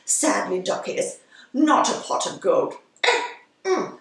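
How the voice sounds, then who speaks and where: A woman's voice: mid-range voice, sing-song, slow pace, creaky, heavily acted. A woman playing a giggly, doting, theatrical tea-party hostess, talking to her audience in an affected, gushing way. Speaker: show host. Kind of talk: whimsical character monologue to an audience. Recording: very echoey room, distant microphone.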